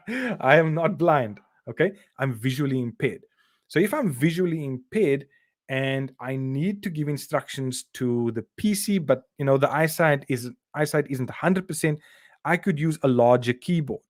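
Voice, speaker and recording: South African teacher talking in a dry room. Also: male voice, fairly high pitch, lively intonation, a little slow, no room sound. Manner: explanatory, with frequent "okay?" and "right?" checks; uses fillers and repeats words while explaining. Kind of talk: recorded revision lesson.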